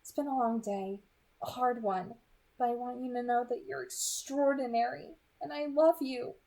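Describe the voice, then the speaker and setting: Woman reading as a devoted, high-voiced vampire sweetheart. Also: fairly even intonation, normal speed, dark tone, natural voice. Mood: tender. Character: tender, devoted young female vampire sweetheart. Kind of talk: amateur audiobook reading.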